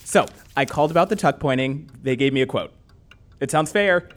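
A man voicing a calm, matter-of-fact husband at home in an audio drama. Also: mid-range voice, a little fast, slight background noise, natural voice.

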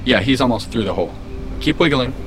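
A man's voice: mid-range voice, expressive, fast talker, noisy background, close to an everyday voice. A man playing a polite, wordy and tactless artificial intelligence assistant in a science-fiction audio drama. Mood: calm, helpful. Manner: matter-of-fact, wordy, tactless. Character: polite, chatty, unflappable artificial intelligence assistant of a Mars colony.

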